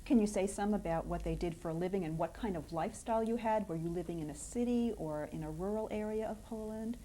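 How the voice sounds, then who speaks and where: A woman interviewer asking measured, formal interview questions. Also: mid-range voice, dark tone, slight background noise.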